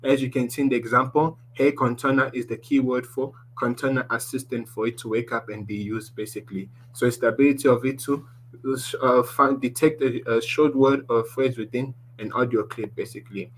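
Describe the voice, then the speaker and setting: Man explaining tech with a deep voice. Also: fairly even intonation, brisk, slight background noise, low-quality recording. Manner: explaining slides, restarts often, ends points with "basically". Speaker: presenter. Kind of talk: online tech lesson.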